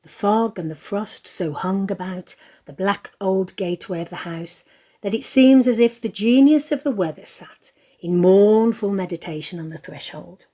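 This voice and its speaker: A woman's voice: medium pitch, animated, moderate pace, warm tone, lightly acted. A woman performing as the narrator of a Victorian audio play, telling the story expressively and emphatically. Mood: relishing, gloomy.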